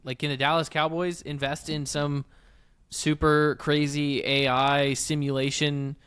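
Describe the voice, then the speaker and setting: A man co-hosting a podcast, debating casually in a questioning, halting way and rephrasing as he argues. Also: fairly high pitch, little pitch movement, fairly bright tone, clean tone. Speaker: podcast co-host. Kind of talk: casual sports business debate.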